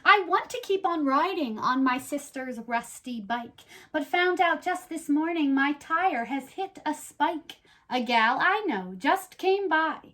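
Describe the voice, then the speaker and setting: A woman playing a perky, chatty reading buddy who reads storybooks aloud on a children's show with animated, playful asides. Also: high-pitched, measured pace, hardly any pauses. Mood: enthusiastic. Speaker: co-host reading a picture book aloud.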